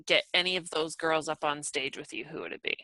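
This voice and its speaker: A young woman hosts a podcast with a bright, forceful voice, chattering animatedly and filling her talk with "like". The sound is dry and close. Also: low voice, fairly quick, no echo, rough recording. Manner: animated, lots of "like", swears. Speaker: podcast host. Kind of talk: casual chat.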